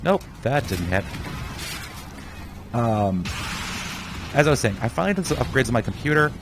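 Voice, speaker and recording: A man's voice: mid-range voice, big pitch swings, moderate pace, noisy background. A young man who is sick, rambling casually in live gameplay commentary and talking to himself as he plays. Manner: rambling, chatty, talks to himself while playing. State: sick, voice somewhat off. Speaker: gaming channel host.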